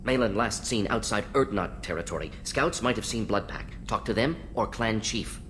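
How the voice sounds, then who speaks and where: An actor playing an intense alien scientist in a video game, speaking in brisk, clipped, analytical fragments. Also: male voice, fairly bright tone, lightly acted. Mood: brisk, informative. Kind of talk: video game character acting. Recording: clear low rumbling background noise.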